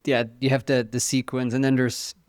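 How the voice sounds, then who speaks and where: A man's voice: mid-range voice, flat delivery, normal speed, dry recording, clean studio sound. A man with a German accent hosting a podcast, asking questions and rephrasing them on the fly. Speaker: podcast host. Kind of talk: interview questions.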